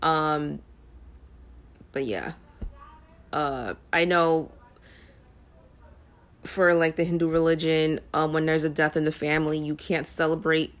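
A young woman talking casually to camera as a music vlogger, rambling with frequent ums and uhs. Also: low-pitched.

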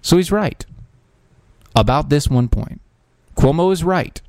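A man's voice: on the high side, animated, measured pace, a little mellow, no echo. A man hosting a podcast, giving casual political commentary in a sarcastic, ranting way with frequent restarts and fillers. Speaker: podcast host.

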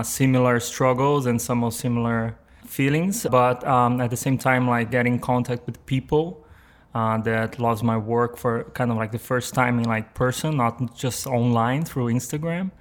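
A man in his thirties with a Brazilian accent and Portuguese as first language, chatting in an interview with halting phrasing. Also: mid-range voice. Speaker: podcast guest, motion and 3D artist. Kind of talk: casual interview conversation.

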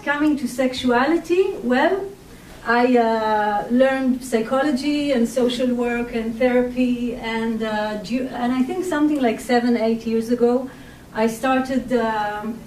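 Israeli woman talking to a group, kinda high voice, lots of uh and like, roomy echo from far off. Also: a little hiss or hum. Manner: hesitant, many fillers, soft-spoken. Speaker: workshop speaker. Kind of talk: personal talk to an audience. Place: community hall. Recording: echoey hall, mic at a distance.

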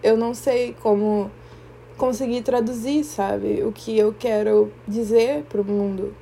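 A young woman with a Brazilian Portuguese accent, hosting a podcast in a reflective personal monologue, thoughtful and often pausing to search for the right word. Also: a little high, steady tone, gravelly, dark tone, slight background noise. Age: young adult. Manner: thoughtful, searching for words, pauses and restarts. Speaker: podcast host.

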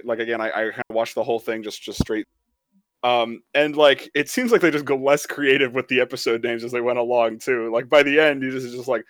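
A man's voice: mid-range voice, fairly bright tone, no echo. Guy podcast cohost, rambly and jokey, says like a lot, dry sound. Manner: rambling, with frequent "like". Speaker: podcast co-host. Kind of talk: casual joking panel chat.

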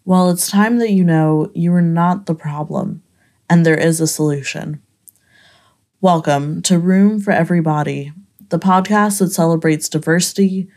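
A woman hosting a podcast, reading a scripted intro and asking measured questions. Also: on the low side, a little mellow. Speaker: podcast host. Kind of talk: scripted intro then interview.

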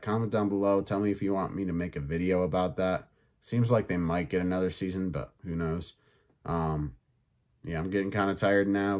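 Male podcast host, lowish pitch, slightly raspy and sore, casual and chatty, swears freely. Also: darkish tone. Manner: loose and chatty, swears, many fillers. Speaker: podcast host reviewing films and shows. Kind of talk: casual review chat.

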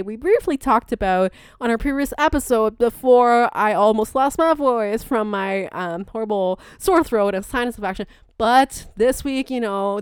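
A woman's voice: fairly high pitch, clear, resonant tone, dry recording, clean studio sound. A woman hosting a sports show, giving chatty, enthusiastic, colloquial commentary on fights in a casual style. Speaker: sports podcast host.

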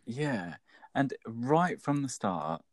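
A man's voice: medium pitch, expressive, takes it slowly, no echo, studio quality. A man co-hosting a workplace podcast, chatting casually with an enthusiastic, jokey manner. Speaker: podcast co-host.

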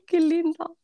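A woman's voice: extremely high pitch, darkish tone, dry recording, studio quality. A Chilean woman with a Chilean Spanish accent, a podcast guest who is bubbly, enthusiastic, and laughs often. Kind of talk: casual chat.

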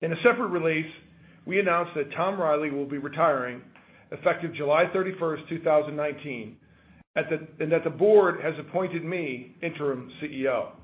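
A man's voice: on the high side, normal speed. A man speaking as a company chairman, delivering formal prepared remarks over a telephone conference line. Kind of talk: prepared earnings call remarks. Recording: thin telephone-line audio.

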